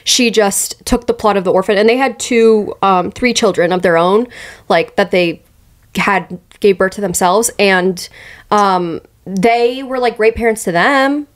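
A woman in her twenties with an American accent talks casually on a podcast. Her voice sits at a middle pitch, and she speaks in a loose, rambling, conversational way into a close microphone. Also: measured pace. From Allentown, United States. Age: 20s. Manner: rambling, peppered with "like". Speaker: podcast co-host. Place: small tiled bathroom, indoors. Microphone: podcast mic on boom arm, close.

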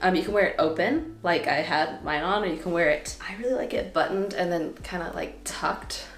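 A woman's voice: fairly low pitch, a little fast, echoey room. A woman in her twenties chatting casually to camera in a vlog, bubbly and laughing between phrases, with a stuffy nose. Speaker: vlogger. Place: home living room and bedroom.

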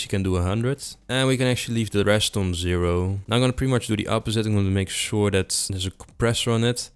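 Fast-talking, low-voiced man. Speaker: tutorial host. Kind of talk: casual software tutorial narration. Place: indoor room with little acoustic treatment.

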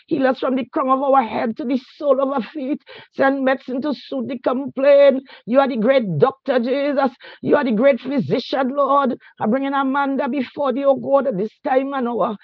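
Very high-voiced man in fervent prayer. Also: dry recording. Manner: fervent, pleading, ends each phrase with a softer "oh God" or "Lord". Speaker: prayer leader. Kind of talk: spontaneous spoken prayer. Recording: dull, dry radio recording.

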